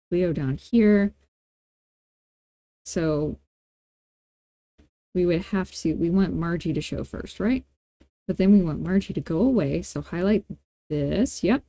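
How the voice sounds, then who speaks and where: Female tutorial host, informal and playful, soft-edged mellow tone, dry close-miked sound. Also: on the low side, no echo. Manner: informal, playful, thinking aloud. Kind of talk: casual screen-recorded software tutorial.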